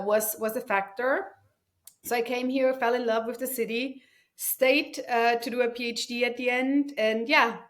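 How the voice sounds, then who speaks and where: Woman from Germany, thirties, chatty podcast guest, lots of fillers. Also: fairly high pitch. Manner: chatty, frequent fillers and restarts, enthusiastic. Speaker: guest, company founder. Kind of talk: casual conversational interview.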